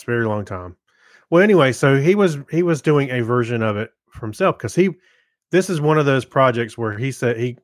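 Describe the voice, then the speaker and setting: Middle aged guy on a podcast, kinda hesitant and rambly, average pitch, very dry audio. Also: dry recording. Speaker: podcast co-host. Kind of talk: casual chat, giving a review.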